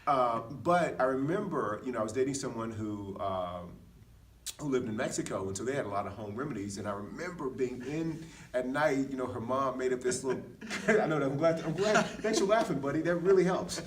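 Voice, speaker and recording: A man's voice: mid-range voice, animated, brisk, recorded from a distance, a little hiss or hum. A middle-aged American man telling personal stories casually in a candid, self-deprecating way, often saying "man" and "dude.". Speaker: podcast speaker, former Marine.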